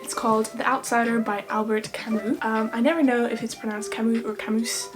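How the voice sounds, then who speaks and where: A chatty young Irish woman talks through book recommendations in a friendly vlog, with an accent that leans toward English. Her voice sits a little high for a woman. Clear background music plays beneath her throughout. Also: steady tone. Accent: Irish, drifting toward English. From Ireland. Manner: chatty, bubbly, quick asides and self-deprecating jokes. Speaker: book vlogger. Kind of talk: casual book recommendations to camera. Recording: music playing underneath.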